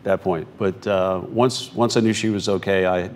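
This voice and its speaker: A man in his sixties, a talk show guest, recounting his own story in halting phrases with repeated words. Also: low-pitched, steady tone, slight background noise. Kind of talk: recounting a personal story in conversation. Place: TV studio.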